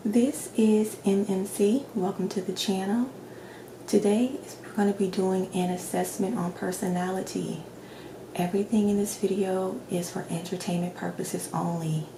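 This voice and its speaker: A woman speaking softly and gently, often slipping into a whisper, in a measured ASMR roleplay as a doctor. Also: mid-range voice, fairly even intonation. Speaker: ASMR creator playing a doctor. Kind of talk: soft-spoken ASMR roleplay. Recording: roomy echo with clear background noise.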